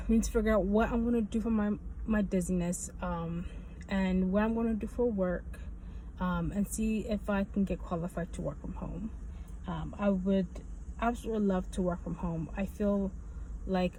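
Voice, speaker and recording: A woman with a soft-edged, mellow voice at an average pitch talks casually to her viewers. She rambles through a personal update, circling back and repeating phrases. There is faint noise behind her. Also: poor audio. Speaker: video blogger giving a health update. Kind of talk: casual personal vlog talk.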